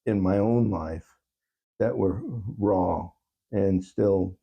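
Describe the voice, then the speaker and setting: A man speaking as an interview guest, telling personal stories in a reflective, halting and earnest way. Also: deep voice, creaky, breathy edge, lo-fi sound. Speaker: talk show guest, songwriter.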